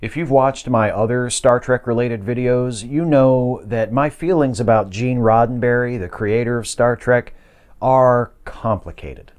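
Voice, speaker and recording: A man presents scripted commentary in the style of an online video essay. His voice sits at a middling pitch. His phrasing is articulate and measured. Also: a little mellow.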